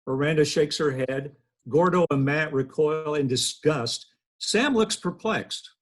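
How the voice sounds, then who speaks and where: Male script narrator, slightly high husky voice, reading stage directions over a video call. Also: measured pace. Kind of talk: scripted narration at table read. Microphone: computer on video call.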